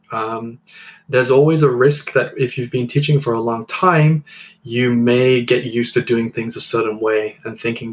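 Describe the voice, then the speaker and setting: A man in his thirties talks in a measured, reflective way, at a middle pitch. He sounds encouraging as he co-hosts a podcast for fellow English teachers. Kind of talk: conversational podcast discussion.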